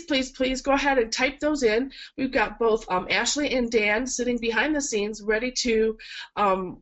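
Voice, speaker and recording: A woman's voice: fairly high pitch, fairly bright tone, rough recording. A woman florist with a cold and a nasal, stuffed-up voice, chatty and encouraging as she demonstrates a project in a webinar, with frequent ums. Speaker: florist instructor. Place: work table indoors. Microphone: camera mic.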